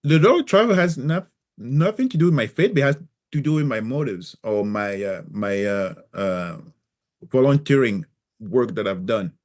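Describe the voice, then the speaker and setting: A man raised in Cameroon, a native French speaker with a mixed French and Cameroonian accent, talking in a casual interview with many pauses and restarts. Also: mid-range voice, expressive. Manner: hesitant, many fillers and restarts. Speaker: guest.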